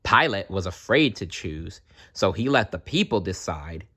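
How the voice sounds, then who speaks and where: A male storyteller reads scripted children's narration aloud. His voice sits a bit low and moves widely in pitch. He takes his time and delivers each line with dramatic expression. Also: expressive, a little slow, bright, forceful tone.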